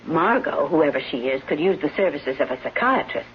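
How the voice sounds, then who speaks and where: Casting: protective private nurse, vintage radio drama. Lowish voice, firm, soothing, no-nonsense. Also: female voice, expressive, brisk. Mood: uneasy. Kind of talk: old-time radio drama acting. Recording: muffled, noisy old radio broadcast.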